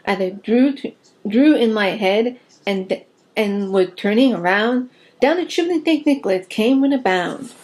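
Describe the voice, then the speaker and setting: A woman reading a children's Christmas poem aloud as an amateur storyteller, in an expressive, informal way. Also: fairly high pitch, a little slow.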